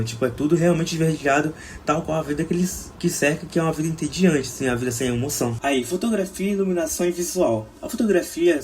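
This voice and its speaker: A young man with a Brazilian Portuguese accent gives an academic slide presentation as a student film director, in a halting, hesitant manner. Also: on the high side, a little mellow. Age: young adult. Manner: halting, with frequent hesitations and restarts. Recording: clear background noise.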